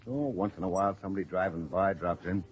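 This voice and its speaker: An American actor playing an affable, folksy, talkative roadhouse bartender in a 1950s radio drama. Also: male voice, deep voice, quick pace, close to an everyday voice. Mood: chatty, easygoing. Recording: dull, noisy vintage radio recording.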